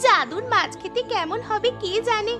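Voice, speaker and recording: A woman voicing an eager, excitable young village child in a fairy tale cartoon. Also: very high-pitched, moderate pace. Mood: eager, surprised. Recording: music under the voice.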